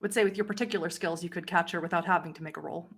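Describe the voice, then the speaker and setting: A woman narrating a tabletop roleplay game as game master, with measured, descriptive storytelling. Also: on the low side, steady tone, slightly rough.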